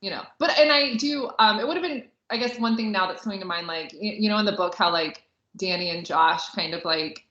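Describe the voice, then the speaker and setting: A woman with a bright, forward voice chats in a casual podcast style. She is informal and a bit hesitant, circling toward her point. The recording sounds roomy and echoey. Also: mid-range voice, fairly quick. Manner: informal, hesitant, heavy use of "like". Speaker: podcast co-host reviewing a film. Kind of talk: casual conversational discussion. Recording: roomy, echoey, slightly dull lo-fi audio.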